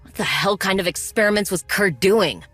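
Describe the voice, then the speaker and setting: A proud warrior mechanic in a video game. She talks in a practical, guarded way with a wry edge. Music sits softly underneath. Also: mid-range voice, normal speed, husky. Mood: guarded concern. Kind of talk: video game cutscene acting.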